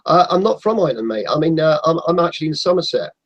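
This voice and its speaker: A passionate man from Portugal speaking up on a casual video call. His voice sits a little higher than most men's and he leans hard into his points. A faint hiss and stray clicks come through his home mic. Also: expressive, fast talker. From Portugal (Madeira). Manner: emphatic, passionate, says mate. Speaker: guest on an online hangout. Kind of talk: casual group chat.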